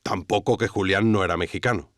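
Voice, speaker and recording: A man's voice: deep voice, fairly quick, fairly bright tone, no room sound, clean studio sound. A man playing a wry investigative narrator, reading scripted documentary narration in an audio drama. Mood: wry storytelling. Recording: faint music bed.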